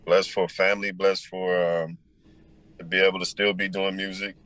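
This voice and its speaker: Man with a deep, powerful low voice; reflective interview guest, unhurried, searching for words. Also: little pitch movement, fairly bright tone, dry recording, poor audio. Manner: thoughtful, pauses with many ums. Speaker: musician guest. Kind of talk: casual interview answers.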